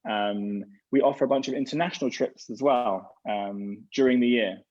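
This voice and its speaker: Rabbi, mid-pitched man, rambly casual answers on a lo-fi video call. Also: moderate pace, darkish tone. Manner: rambling, restarts often. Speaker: rabbi representing a gap year program. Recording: dull lo-fi sound.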